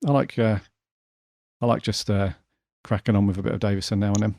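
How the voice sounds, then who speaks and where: A man's voice: lowish voice, little pitch movement, fairly quick, vocal fry, a little mellow, dry recording, clean studio sound. A British man chatting casually and in a laid-back way as a podcast co-host. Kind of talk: casual chat.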